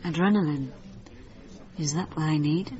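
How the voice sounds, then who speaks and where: A woman's voice: low-pitched, moderate pace, a little mellow, lightly acted. An actress playing a weary, uneasy young woman, hesitant and subdued, in a naturalistic radio drama. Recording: muffled, chatter behind.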